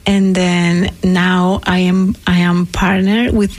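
A woman on a radio panel sharing her own experience as a program participant, speaking hesitantly with halting phrasing. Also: fairly low pitch, flat delivery.

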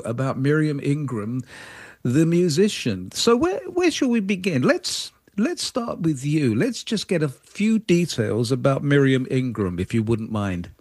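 A man's voice: a little high, a little mellow, no room sound, clean studio sound. A man hosting a radio interview, talking in a rambling, hesitant way with repeated words. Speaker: radio host.

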